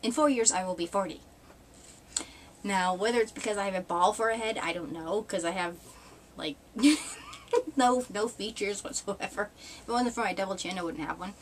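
Woman, thirties, from New England, casual webcam chat, wry, rambling, mid pitch, faint background hiss. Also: moderate pace. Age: 30s. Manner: rambling, wry, laughs often, mild swearing. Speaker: vlogger. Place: small indoor room with red cloth backdrop.

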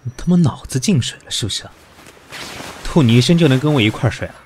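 A man playing an indignant, sharp-tongued boss in a modern audio drama, curt and scornful. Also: mid-range voice, lively intonation, quick pace, a little hiss or hum, natural voice. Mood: shocked, angry.

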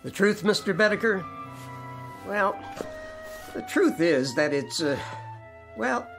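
A reasonable, gently candid family doctor in a radio drama. He is reassuring and tactful, with very varied intonation over faint music. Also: high voice, lively intonation, slow pace, full character voice, poor audio.

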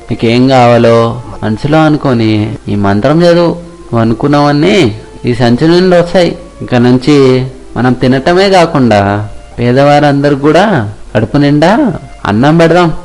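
A man's voice: mid-range voice, lively intonation, normal speed, pauses often, a little mellow, soft background music, close to an everyday voice. A man playing a devoted, generous young fisherman with an earnest, caring manner in an acted moral story.

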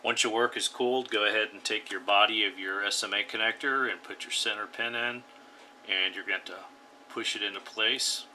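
Low-voiced man explaining a DIY radio build. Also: fairly even intonation, moderate pace, pushed and bright, a little hiss or hum. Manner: plain, instructive, step-by-step. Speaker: radio hobby channel host. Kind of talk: DIY tutorial voice-over.

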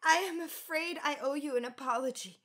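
A woman's voice: high-pitched, brisk, a bit bright. An actress playing an earnest, polite young woman in a radio drama. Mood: earnest, contrite.

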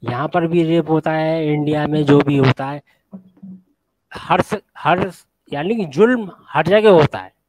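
A man with a sore throat joins a group debate over a video call. His voice sits slightly high. He argues haltingly, repeating words and restarting his sentences. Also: darkish tone, dry recording, poor audio.

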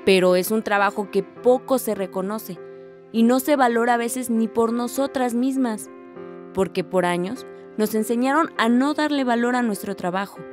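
A woman's voice: fairly high pitch, normal speed, darkish tone, soft background music, close to an everyday voice. An actress playing a reflective young village woman narrating her story in a radio drama.

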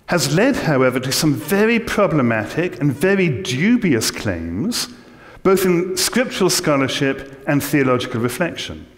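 A middle-aged man with an English accent giving a measured, scholarly theology lecture from a church lectern. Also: on the high side. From England. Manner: measured, scholarly, dry humor. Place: large church, indoors. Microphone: lectern mic. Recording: long church reverb.

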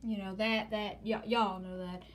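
A woman hosting an informal show-and-tell vlog, talking haltingly into a handheld device in a small room at home. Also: medium pitch, little pitch movement. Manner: informal, halting, repeats stock phrases. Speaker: host of a gadget collection video channel. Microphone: handheld phone or camera, held close. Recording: noisy handheld home recording with clicks.

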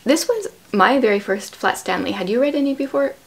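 A woman's voice: fairly high pitch, animated, fairly quick, gravelly. A woman in her thirties hosting a casual book chat at home, explaining things conversationally and prompting with questions. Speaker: host, homeschooling mother. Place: small furnished room at home, indoors.

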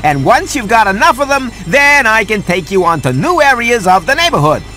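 An actor playing a sarcastic, fussy house cat who wisecracks his way through an animated video game. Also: high-pitched, a little fast, soft background music, heavily acted, rough recording. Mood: wry, instructing. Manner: wisecracking, sardonic.

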